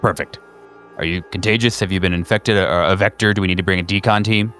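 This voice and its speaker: A man playing a calm, businesslike agency dispatcher on a phone call in a horror roleplaying game, speaking in a measured, slightly hesitant official way. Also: deep voice, soft background music, voice pushed down.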